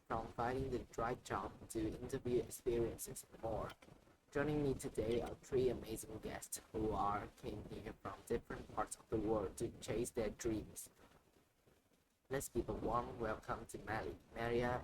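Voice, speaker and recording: A cheerful man hosts a scripted podcast for English learners, friendly and upbeat throughout. His voice sits at an ordinary middle pitch. A steady ambient noise runs behind him. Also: normal speed. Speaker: podcast host. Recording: noisy ambient background.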